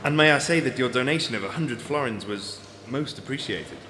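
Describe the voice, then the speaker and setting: An actor playing a college official giving a formal ceremonial address. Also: male voice, medium pitch, a little fast, close to an everyday voice. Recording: echoey hall with loud crowd noise.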